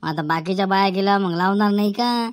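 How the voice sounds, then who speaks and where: A woman's voice: medium pitch, brisk, no echo, clean studio sound, natural voice. A woman voicing a chatty male village journalist who gossips in a comic cartoon, with a rural Varhadi Marathi accent. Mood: gossipy amusement.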